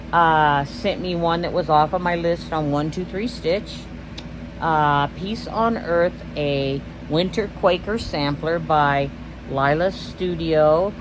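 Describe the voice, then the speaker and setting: Texas lady showing off her stitching gifts, wanders off topic a lot, air conditioner humming loud behind her. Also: sits low, background noise. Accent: Texan. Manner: rambling, loses her train of thought. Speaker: stitching vlog host. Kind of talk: casual show-and-tell vlog.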